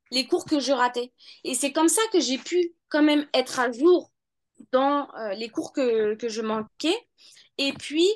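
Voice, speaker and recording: A woman in her thirties answers interview questions about her studies in a high voice. She talks casually and hesitantly, restarting sentences and filling pauses. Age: 30s. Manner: hesitant, many fillers and restarts. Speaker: law student interviewee.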